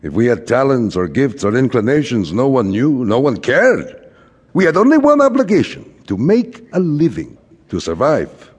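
A man's voice: medium pitch, wildly swooping pitch, moderate pace, darkish tone, slightly rough. An actor playing a wistful, middle-aged immigrant father who philosophizes and repeats himself in an audio drama. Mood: wistful, lamenting. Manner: rhetorical, repetitive, philosophizing. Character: wistful, rambling immigrant father, a frustrated commercial traveler. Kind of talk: theatrical audio drama acting. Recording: reverberant hall stage recording.

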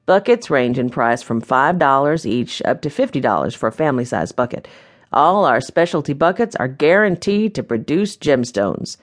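A woman's voice: a bass voice, big pitch swings, moderate pace, voice pushed down, full character voice. A woman voicing a friendly, businesslike man with a folksy, salesmanlike manner in a children's audiobook. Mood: welcoming, informative. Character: friendly businesslike man, owner of gem mine.

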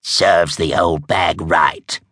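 A man reading aloud and voicing a sneering, croaking old witch woman. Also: medium pitch, sing-song, extremely slow, a bit bright, no room sound, studio quality, pitched above the performer's own voice, exaggerated voice. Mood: snappish. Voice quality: croaking, growly. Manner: sneering, snappish.

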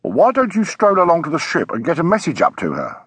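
A man's voice: fairly high pitch, huge pitch swings, brisk, fairly bright tone, breathy edge, no room sound, pitched above the performer's own voice, full character voice. A man voicing a polite, placid police constable in an audiobook, mild and slightly formal, soothing in manner. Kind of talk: audiobook character voice.

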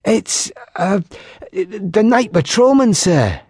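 An urgent, hesitant young messenger, voiced by a man in an audiobook. The voice sits high and husky and keeps faltering. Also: big pitch swings, slow, darkish tone, no echo, clean studio sound, heavily acted.

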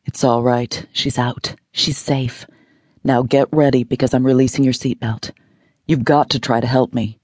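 A man's voice: mid-range voice, flat delivery, normal speed, a little mellow, no room sound, clean studio sound, natural voice. An audiobook narrator voicing an injured man trapped in a car, speaking urgently. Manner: urgent, pleading.

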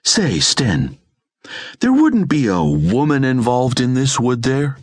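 A man's voice: mid-range voice, big pitch swings, takes it slowly, husky, no echo, studio quality, voice pushed up, exaggerated voice. A man voicing a brash, irritable woman in an audiobook, grumbling and exclaiming with scorn. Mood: grudging.

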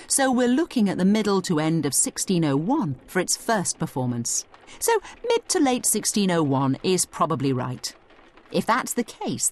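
Animated woman narrating a study guide. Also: fairly low pitch. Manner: conversational, explanatory storyteller addressing the listener directly. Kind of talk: scripted dramatised educational narration.

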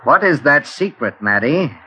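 An actor playing a possessive, menacing aristocratic brother in theatrical 1940s radio style. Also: male voice, on the high side, very animated, measured pace, bright, forceful tone, exaggerated voice. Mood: menacing, coercive. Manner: theatrical, insistent, demanding. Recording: noisy old radio drama recording.

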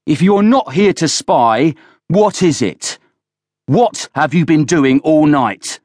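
A man's voice: on the high side, expressive, takes it slowly, darkish tone, no room sound, pitched above the performer's own voice. A menacing drunken thug leader in an audiobook. He roars and sneers with open contempt, his voice pushed well above the reader's own, in a close, dry recording. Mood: mocking, furious. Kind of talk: dramatised audiobook character voice.

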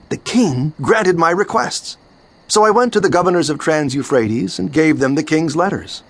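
Devout ancient cupbearer narrating, earnest and declamatory, very expressive; male voice over soft music. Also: mid-range voice, close to an everyday voice. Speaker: first-person narrator. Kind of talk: dramatized audio Bible narration.

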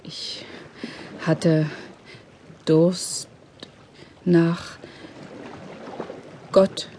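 A woman playing an earnest, dignified cloth merchant in a biblical audio drama, speaking formally and deliberately. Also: on the low side, flat delivery, dark tone, a little hiss or hum. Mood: earnest, moved.

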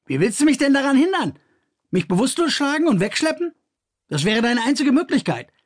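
Ein kühner, trotziger junger Mann, von einem männlichen Sprecher gespielt, der schnell und herausfordernd redet. Die Stimme liegt deutlich höher als die des Erzählers und klingt leicht rau. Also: male voice, very high voice, very animated, pitched above the performer's own voice, heavily acted. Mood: defiant. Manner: brash, fast, challenging. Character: bold, defiant young man, strong and determined.

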